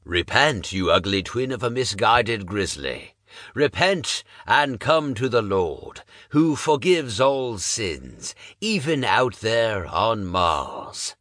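A man's voice: on the low side, very animated, takes it slowly, pushed and bright, dry recording, clean studio sound, heavily acted. A man voicing a bold, fervent preacher who harangues a monster with sermons and insults, his voice almost singing. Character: bold fire-and-brimstone male preacher, booming.

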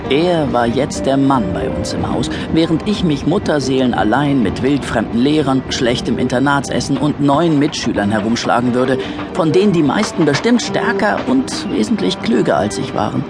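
A man's voice: medium pitch, very animated, normal speed, vocal fry, over loud music. A man acting a wry, resentful young narrator looking back on boyhood in a scripted audio drama.

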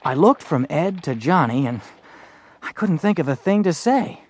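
Man playing an earnest young western teenager, lively pitch. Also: normal speed, slight background noise, close to an everyday voice. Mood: reflective. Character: earnest fourteen-year-old western boy narrating his story. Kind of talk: first-person dramatized audiobook narration and dialogue.

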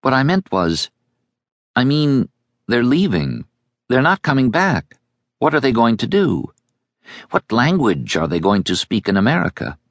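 A man voicing a hushed eleven-year-old girl who keeps asking questions. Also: mid-range voice, sing-song, a little slow, long pauses, no echo, pitched above the performer's own voice. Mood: hushed wondering. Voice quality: soft, hushed.

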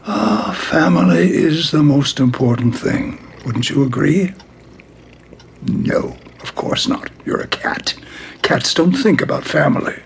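A man playing a gentle, doting elderly grandfather in an audio drama, folksy, with halting exclamations and sighs. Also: fairly high pitch, lively intonation, gravelly, a little hiss or hum. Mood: musing. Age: older.

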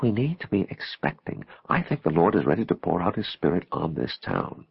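A man's voice: low-pitched, big pitch swings, a little fast, no echo, muffled recording, full character voice. A man voicing a robust, devout woman in her forties, ecstatic and prophetic, in an audiobook. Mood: prayerful. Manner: ecstatic, breathy, prayerful proclaiming. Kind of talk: audiobook character voice.

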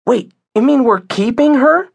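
A man voicing an excitable young girl with an eager, emphatic, childlike manner, as in a storybook. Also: extremely high pitch, slow, no echo, voice pushed up, full character voice. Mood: excited.

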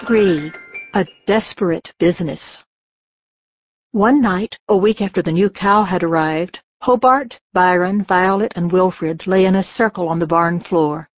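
A woman reading a children's storybook as an audiobook narrator, in an expressive storytelling manner. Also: fairly low pitch, a little slow, no echo, soft background music. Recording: dull, lo-fi audiobook sound.

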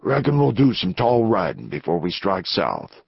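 Man voicing a gruff, low-pitched Western outlaw boss. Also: sing-song, measured pace, no room sound, lo-fi sound, heavily acted. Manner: gruff, folksy Western drawl. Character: lean, gruff, wary outlaw gang leader. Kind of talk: Western novel dialogue.

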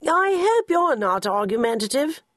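A pompous, fussy old English gentleman drawls in a grand, affected way, as a woman puts him on. His pitch swoops widely and a husky edge runs through the voice. The sound is close and dry. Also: sing-song, unhurried, no room sound, heavily acted.

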